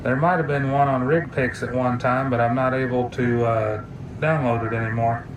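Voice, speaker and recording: A man's voice: medium pitch, fairly even intonation, normal speed. A man giving an unscripted, casual hands-on gadget demo in an easygoing, technical way. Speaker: hobbyist video presenter. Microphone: phone camera mic. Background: radio chatter and hiss.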